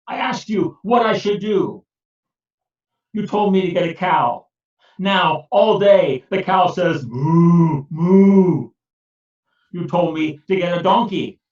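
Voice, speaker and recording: A frazzled villager at his wits' end, whining high and loud about every creak and squeak, as a storyteller puts him on. His lines climb up in pitch. The echoey, far-off recording sounds dull. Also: expressive, very slow, exaggerated voice. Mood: exasperated. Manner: complaining, wailing at the end of his patience. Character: fretful grown man fed up with household noise.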